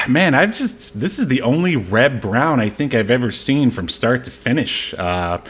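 Man, medium pitch, casual movie chat, joking, rambling, slangy, podcast co-host. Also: sing-song, a little slow, fairly bright tone, slightly rough.

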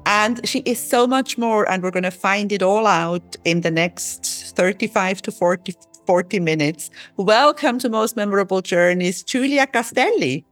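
A woman hosting a podcast in Cyprus, upbeat and quick to laugh as she interviews her guest. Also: mid-range voice, soft background music. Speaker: podcast host.

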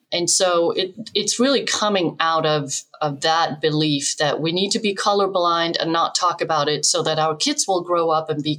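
Lower-pitched woman, expert podcast guest. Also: fairly bright tone. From Denmark, moved to the US in her twenties. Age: middle-aged. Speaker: expert guest, developmental psychologist. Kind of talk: explanatory interview answers with anecdotes.

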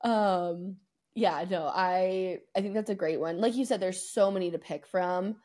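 A woman with a slightly low, very mellow voice hosts a casual sports podcast. She chats loosely and informally, with a playful, self-mocking streak. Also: measured pace. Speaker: podcast host. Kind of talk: casual sports podcast chat, season recap.

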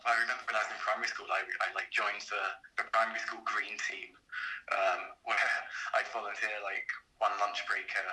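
A man's voice: fairly low pitch, pushed and bright. A man recalling his school days in a rambling way with lots of fillers. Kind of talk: personal recollection. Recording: distant from the mic.

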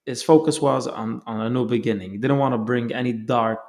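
Gravelly earnest male interview host. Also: medium pitch, steady tone, a little fast, a little mellow. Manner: earnest, reflective, searching for words. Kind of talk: casual conversation.

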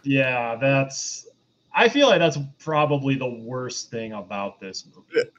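A man's voice: medium pitch, animated, unhurried, a bit bright. Man on a podcast, slightly dull audio. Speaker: podcast panelist. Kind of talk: casual film review chat.

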